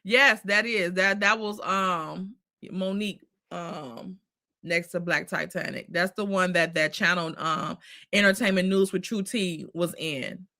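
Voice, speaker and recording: Bright, sassy woman host. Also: lowish voice, steady tone, unhurried. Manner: sassy, exasperated, laughs often. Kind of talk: casual live commentary on reality drama.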